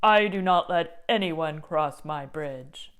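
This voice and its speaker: A woman voicing a mean, greedy old male troll with booming, blustering threats in a children's puppet story. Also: slow, clear, resonant tone. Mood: menacing.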